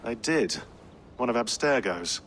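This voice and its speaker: An actor playing a dry, pedantic but affectionate teammate in a naturalistic video game scene. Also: male voice, mid-range voice, expressive, a little slow, fairly bright tone, slight background noise. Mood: dry, resigned.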